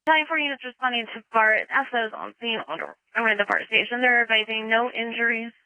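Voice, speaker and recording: Bright, clear woman dispatcher on radio. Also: a little high, fast talker, no echo, rough recording. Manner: procedural, clipped phrases. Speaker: fire dispatcher. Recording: dry emergency radio transmission.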